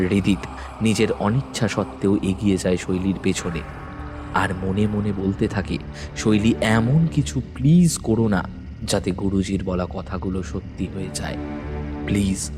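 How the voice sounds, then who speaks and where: Deep-voiced man narrating horror. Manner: measured, suspenseful storytelling.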